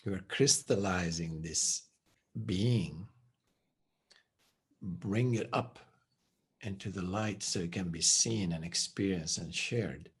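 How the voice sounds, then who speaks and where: A man speaking as a meditation teacher, reflective and soft-spoken, letting his phrases trail off quietly. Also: low voice, breathy edge. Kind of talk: reflective spiritual talk.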